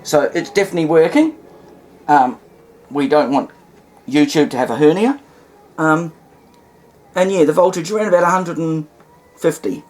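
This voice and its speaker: A man's voice: on the high side, moderate pace, long pauses, a little mellow, soft background music. Folksy New Zealand man, casual and hesitant. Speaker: hobbyist presenter demonstrating vintage radios. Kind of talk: casual unscripted demonstration. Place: indoors in a cluttered home room.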